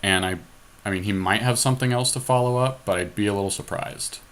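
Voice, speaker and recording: Low-voiced man casually talking through a game. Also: fast talker, fairly bright tone. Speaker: podcast host. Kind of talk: casual co-commentary on an online card game. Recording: noisy amateur screen-capture recording.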